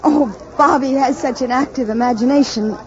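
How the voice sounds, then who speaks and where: A woman playing a protective, skeptical mother in a 1950s radio drama, poised and expressive with a gently scolding way. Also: sits high, fairly quick, lightly acted. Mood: politely brisk. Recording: dull vintage radio recording, faint noise.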